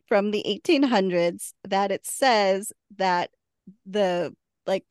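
A woman's voice: mid-range voice, no echo. Woman, podcast co-host, casual chat, laughs often, dry recording.

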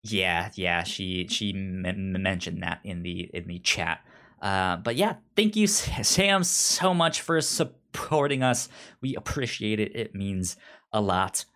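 A man's voice: low voice, animated, slow, fairly bright tone. A man co-hosting a podcast in casual chat, often stammering and repeating his words. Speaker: podcast co-host.

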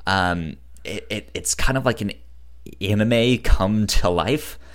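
Male podcast co-host, lowish voice, stutters on first sounds, halting casual film talk. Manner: halting, hesitant delivery with frequent restarts.

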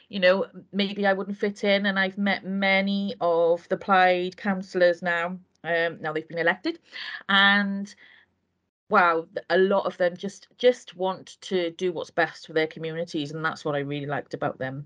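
Low-voiced earnest Welsh woman. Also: flat delivery, normal speed, a bit bright, highs cut off. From Wales. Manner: earnest, meandering with frequent hesitations. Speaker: community councillor. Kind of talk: casual interview conversation.

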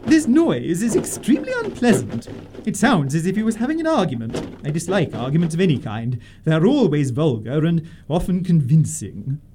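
A woman's voice: deep voice, huge pitch swings, moderate pace, warm tone, slight background noise. A woman playing a haughty, commanding older aristocratic lady in a comic radio play. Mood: disdainful. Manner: haughty, commanding, grandly emphatic. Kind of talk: stage comedy radio acting.

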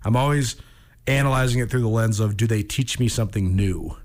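A man's voice: lowish voice, fairly quick. An American man hosting a sports radio show, chatting casually in an opinionated, emphatic way and repeating words for effect.

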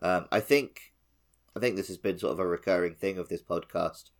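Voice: low-pitched, normal speed. A podcast co-host chatting casually and conversationally about a video game.

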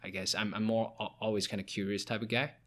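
A young man who grew up in China talks casually as a podcast guest, for whom Chinese is his first language. He speaks quickly in a lowish voice, with plenty of fillers and false starts. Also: level tone, a bit bright. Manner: quick, with many fillers and restarts. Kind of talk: casual interview chat.

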